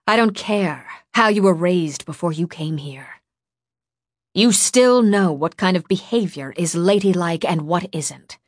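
A woman's voice: lowish voice, a little fast, breathy edge, dry recording, studio quality. Woman playing a severe, imperious scolding matriarch. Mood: cold, disgusted. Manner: severe, clipped, commanding. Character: stern, furious older woman of authority, imperious and scolding. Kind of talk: audiobook character dialogue.

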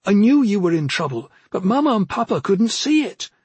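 Male narrator as young sister in fantasy audiobook, earnest pleading, lifted higher voice, determined. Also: very animated, normal speed, darkish tone, husky, no echo, full character voice. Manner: earnest, imploring. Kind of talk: fantasy audiobook character acting.

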